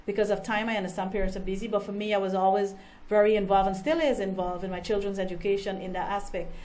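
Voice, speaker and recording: A woman, a political candidate and guest, answering interview questions in an earnest, measured way. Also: medium pitch, fairly even intonation, darkish tone, poor audio. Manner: earnest, measured, a few ums.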